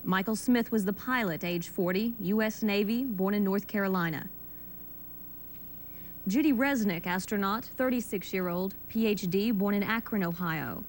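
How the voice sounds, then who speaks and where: A female news anchor with an American accent reads scripted copy at a medium pitch. The recording is an old television broadcast with faint rumbling noise. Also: moderate pace, rough recording.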